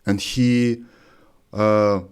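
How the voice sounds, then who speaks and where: A man from Lithuania, hosting an interview in earnest, slightly halting English. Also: fairly low pitch, little pitch movement, clear, resonant tone. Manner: earnest, slightly halting, non-native phrasing. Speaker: host. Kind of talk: interview questions.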